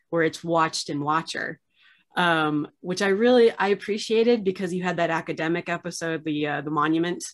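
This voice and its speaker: A woman in her thirties hosting a video-call interview, gushing with enthusiasm and filling gaps with ums and likes. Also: low voice. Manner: enthusiastic, gushing fan, many fillers. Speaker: host, archivist. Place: small room at home, video call.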